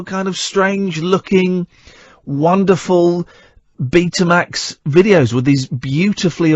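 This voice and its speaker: Middle-aged British male radio host, very animated and slightly husky, effusive and rambling, dry but dull broadcast sound. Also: on the high side, dry recording. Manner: effusive, emphatic, repeating words. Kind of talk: enthusiastic, rambling radio monologue and interview.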